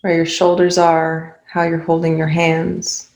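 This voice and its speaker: Female meditation guide: low-pitched, gravelly fry, calm and unhurried delivery with roomy pauses between phrases. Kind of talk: guided meditation, spoken softly to listeners.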